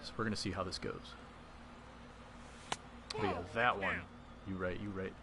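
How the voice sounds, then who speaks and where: A man with a fairly low voice talks loosely over his own baseball video game, reacting to plays and answering viewers in chat. The stream audio carries a clear layer of background noise under his voice. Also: fairly even intonation, normal speed. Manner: chatty, reads and answers chat while playing. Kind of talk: casual live-stream commentary.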